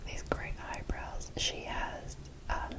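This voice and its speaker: A woman doing casual, unscripted ASMR in a breathy whisper, chatting in a rambling, gushing way close to the mic. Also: takes it slowly. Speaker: ASMR video creator.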